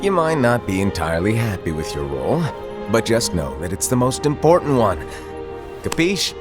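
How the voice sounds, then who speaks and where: A man's voice: fairly low pitch, sing-song, moderate pace, over music. An actor playing a flippant, cryptic master mentoring his apprentices in a game cutscene, with a playful, theatrical delivery. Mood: playful, instructive. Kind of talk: animated game cutscene acting.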